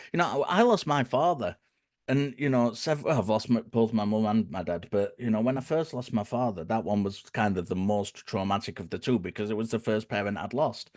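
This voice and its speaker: A man with a croaky, dry voice, explaining his reasoning in a thoughtful way and often restarting his phrases. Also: lowish voice, no room sound. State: hoarse, croaky. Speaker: guest speaker. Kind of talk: conversational explanation.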